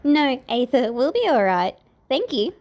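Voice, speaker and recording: A woman's voice: high-pitched, big pitch swings, a little slow, a little mellow, pitched above the performer's own voice. A woman voicing a spirited, defiant thirteen-year-old princess with an indignant, girlish manner in a fantasy audiobook. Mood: amused. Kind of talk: fan fiction audiobook character voice.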